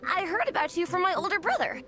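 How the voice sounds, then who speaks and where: A woman's voice: extremely high pitch, big pitch swings, fast talker, over music. A woman voicing an earnest young athlete in a video game, awed and eager but unsure of herself. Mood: starstruck. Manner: eager yet unsure, breathless with awe.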